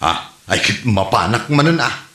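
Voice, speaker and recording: Animated actor playing a whiny grown son. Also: male voice, medium pitch, normal speed. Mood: sheepish. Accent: Ilocano. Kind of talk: Ilocano comedy radio drama acting. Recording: roomy echo, faint music.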